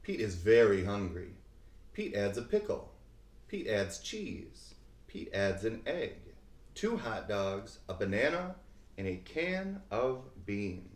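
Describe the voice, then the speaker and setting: A man reading a children's picture book aloud expressively, with deliberate phrasing and dramatic emphasis. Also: fairly low pitch, sing-song. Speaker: read-aloud host. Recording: roomy, echoing sound.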